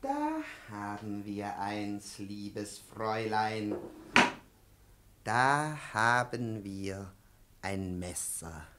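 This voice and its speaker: An actor playing a domineering, irascible, pedantic professor in a 1950s radio play, theatrical and menacing, with a creaky, raspy voice. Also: male voice, on the low side, very animated, extremely slow, noisy background, heavily acted. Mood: coaxing, sinister.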